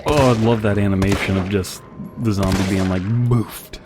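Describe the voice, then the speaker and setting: A man's voice: lowish voice, fairly even intonation, moderate pace, noisy background. A man giving casual, rambling commentary as he plays a video game, with wry joking asides. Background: game music and gunfire.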